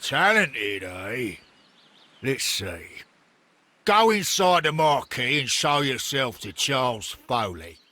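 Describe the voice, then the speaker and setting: Slow, forceful, suspicious gatekeeper. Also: male voice, mid-range voice, sing-song, exaggerated voice. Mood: suspicious, commanding. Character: suspicious, bossy circus gatekeeper man. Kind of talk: scripted game dialogue.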